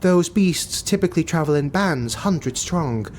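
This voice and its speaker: A man's voice: a little high, expressive, measured pace, slight background noise, pitched above the performer's own voice. A man voicing a haughty, easily offended knight who serves a lord in a fantasy audiobook, arch and indignant while still deferential. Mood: explaining.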